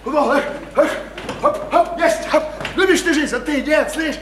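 A man's voice: extremely high pitch, a little slow, a bit bright, slight background noise. An actor in a radio play playing a crude provincial man who taunts his mistress, mocking, boastful and given to repeating himself. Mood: boisterous, exuberant. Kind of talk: theatrical radio play acting. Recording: echoey stage-like radio play, music behind.

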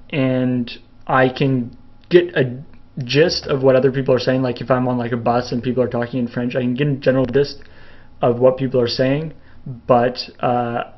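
A young man from Canada chats casually while he answers viewer questions. His voice sits at an ordinary middle pitch and wanders through fillers and restarts. The sound is a bit dull and lo-fi. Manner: rambling, many fillers and restarts, laughs a little. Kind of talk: casual live Q&A chat.

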